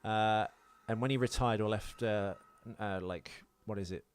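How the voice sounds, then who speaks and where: A man's voice: lowish voice, fairly even intonation, normal speed, smooth. A man co-hosting a casual film podcast, explaining things in a rambling, sweary way. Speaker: podcast co-host.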